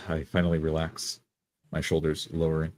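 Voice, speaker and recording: A man's voice: rumbling low, monotone, normal speed, no echo. A man playing a tabletop game, improvising his character's actions in the first person.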